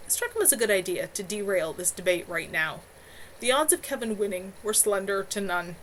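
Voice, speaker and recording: A woman's voice: mid-range voice, expressive, brisk, a bit bright, slight background noise, rough recording. Woman reading her own novel aloud. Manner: plain unpolished reading. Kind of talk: live-streamed novel reading. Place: home room.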